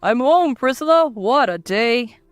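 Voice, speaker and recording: A woman's voice: a little high, animated, a little slow, dry recording, soft background music. A woman voicing a cheerful, eager husband of about thirty in a dramatised story.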